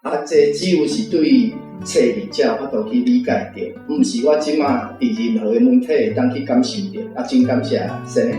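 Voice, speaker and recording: A man's voice: mid-range voice, very animated, normal speed, hardly any pauses. A man with a Taiwanese accent reminiscing and commenting informally as a panel guest. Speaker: panel guest, reading club member. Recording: very echoey, distant, faint music. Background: faint guitar music.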